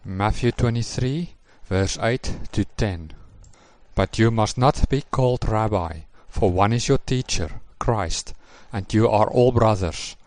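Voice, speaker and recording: A man in a recorded lesson presentation, reading scripture passages in a measured, formal way. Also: lowish voice, darkish tone, no echo.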